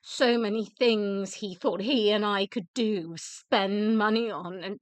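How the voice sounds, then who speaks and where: An actress in a radio drama playing a weary, emotional widow who speaks in halting, deliberate phrases. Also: female voice, mid-range voice, steady tone, clean tone, dry recording, close to an everyday voice. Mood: regretful, confiding. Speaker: actor in a radio play.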